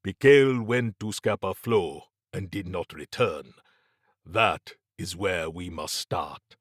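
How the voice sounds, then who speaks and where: A man voicing a grave, courteous, learned occult scholar in an audiobook, speaking in a formal, measured, portentous way. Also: low-pitched, no room sound, studio quality. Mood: ominous. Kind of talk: audiobook character dialogue.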